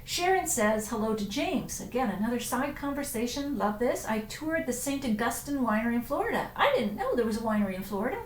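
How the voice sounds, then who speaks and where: A woman's voice: fairly high pitch, sing-song, a little fast, few pauses, echoey room, slight background noise, rough recording. A woman hosting a casual live stream, chatting about wine and answering viewers' comments as they come in, with frequent ums and self-corrections.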